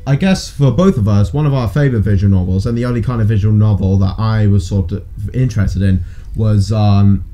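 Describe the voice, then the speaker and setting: A man's voice: fairly low pitch, fairly quick, dark tone. A young adult man chatting casually as the host of a video show. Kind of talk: casual chat.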